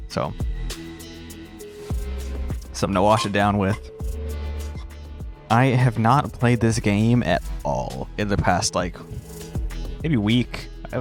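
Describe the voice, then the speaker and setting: A young man with a congested voice, chatting in a loose, rambling way while commenting on his gameplay. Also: lowish voice. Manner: rambling and self-interrupting, drops slang. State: congested, recovering from a cold. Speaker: gaming streamer.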